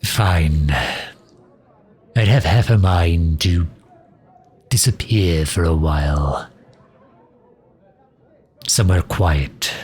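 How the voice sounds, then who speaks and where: A man playing a sardonic, loyal fantasy mage advisor in an intimate ASMR roleplay, dry and grumbling, with a husky, breathy delivery. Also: deep voice, exaggerated voice. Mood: weary, reluctant. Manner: dry, grumbling, sharp-tongued, with hesitant breaks.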